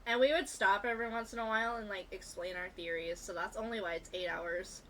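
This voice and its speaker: A woman's voice: medium pitch, fairly even intonation, brisk, fairly bright tone, a little hiss or hum, rough recording. A woman livestreamer chatting casually and informally with viewers during a gaming stream. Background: game music.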